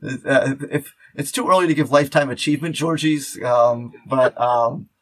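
A man's voice: medium pitch, moderate pace, no echo. A man hosting a podcast in casual chat, rambling and often interrupting himself mid-sentence. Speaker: podcast host.

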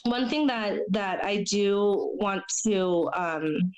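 A young woman with a raspy, hoarse voice from a chest cold, sharing her story as an interview guest in a halting, earnest way with many fillers. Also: mid-range voice, highs cut off, crowd noise. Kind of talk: personal testimony in a podcast interview.

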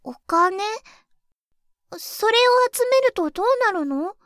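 A small girl in an audio drama, her voice extremely high and childlike. She is earnest and full of curiosity, speaking in simple, eager phrases. The sound is dry and close. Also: expressive, takes it slowly, a bit bright, no echo, exaggerated voice. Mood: curious, puzzled. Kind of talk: Japanese audio drama acting.

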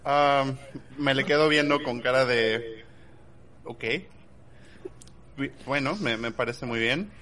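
Bright-voiced man playing a tabletop game. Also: medium pitch, slow pace, long pauses, a little hiss or hum. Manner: hesitant, repeats words. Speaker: player. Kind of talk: casual play chat.

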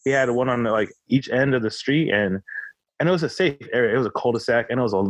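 A young man tells stories in a loose, rambling way, leaning on "like" and "literally". His voice is middle-pitched and recorded dry and close. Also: fast talker, dry recording, poor audio. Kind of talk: casual chat.

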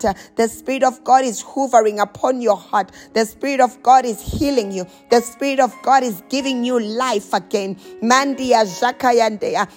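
A woman preacher delivering a charismatic sermon and prayer, exhorting with insistent short repeated phrases and breaking into tongues. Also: a little high.

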